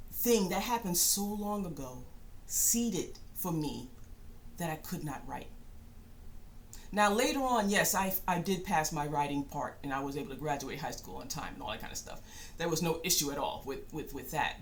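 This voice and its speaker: A middle-aged woman giving a reflective personal monologue in a thoughtful, halting way, often pausing and rephrasing. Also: fairly low pitch, a little hiss or hum. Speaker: spiritual channel host and self-published author.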